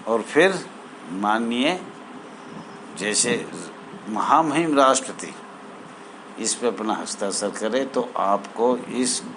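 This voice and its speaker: A man giving an informal explanatory address to fellow members, speaking haltingly with formal honorifics and repeated phrases. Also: medium pitch, animated, background noise. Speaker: home guard association spokesman.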